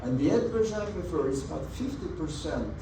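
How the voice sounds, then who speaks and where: A man from Norway with a Norwegian accent, giving an earnest, measured presentation over slides at a community meeting and stressing key words. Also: on the high side, lively intonation. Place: meeting room with an audience. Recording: echoey meeting room, mic at a distance, audible background noise.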